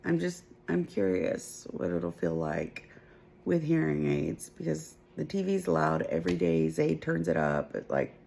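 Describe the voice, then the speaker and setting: A woman talks in a slow, unhurried way with a low, gravelly edge to her voice. She speaks softly in a casual home vlog, filmed at home on a phone held close. Her speech stays quiet and relaxed throughout. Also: a little hiss or hum. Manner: soft, low-volume talk that others struggle to hear. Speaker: mother filming a family vlog. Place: at home, partly in bed.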